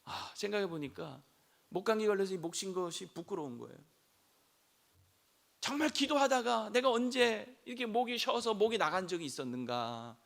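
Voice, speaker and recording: A man preaching a sermon as senior pastor, hoarse from a throat cold, asking the congregation questions and rising to shouts. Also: high-pitched, normal speed. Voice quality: gravelly.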